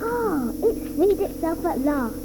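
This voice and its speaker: A woman's voice: very high-pitched, takes it slowly, noisy background, exaggerated voice. An eager wide-eyed young boy. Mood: excited. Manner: breathless, excited describing. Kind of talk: 1980s radio drama acting.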